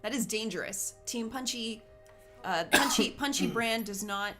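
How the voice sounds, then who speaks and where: A Canadian woman hosting a casual gaming video, joking and reacting quickly, her voice gravelly today. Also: fairly high pitch, music in the background. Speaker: let's play host.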